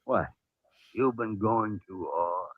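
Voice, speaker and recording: An actor playing an earnest, firm hospital doctor in a 1950s radio drama, theatrical and emphatic, on a tinny vintage recording. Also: male voice, fairly low pitch, takes it slowly, no room sound. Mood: earnest, insistent.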